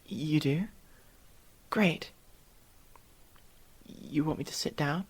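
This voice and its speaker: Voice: medium pitch, extremely slow, breathy edge. A performer voicing a curious, proper young elf mage student in an ASMR roleplay, inquisitive and a little formal, with hesitant stammers. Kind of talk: ASMR roleplay, one-sided dialogue with the listener.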